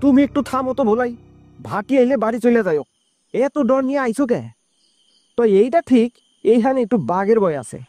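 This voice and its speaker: A man's voice: very high-pitched, expressive, a little fast, pauses often, dark tone, faint music behind. A man voicing a bold, impatient village fisherman in a cartoon horror story, with brusque colloquial speech and a rural Bangladeshi accent. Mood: defiant.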